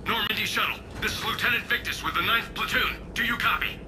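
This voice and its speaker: An actor playing an earnest young alien lieutenant, clipped and urgent, with a layered alien voice filter. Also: male voice, high voice, fast, music in the background. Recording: noisy battlefield and engines behind.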